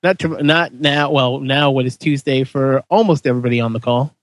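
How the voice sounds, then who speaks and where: A man hosting a podcast on a call, with a high-pitched voice, swearing and joking in casual chat. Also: fairly quick, no echo. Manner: profane, joking banter. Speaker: podcast host.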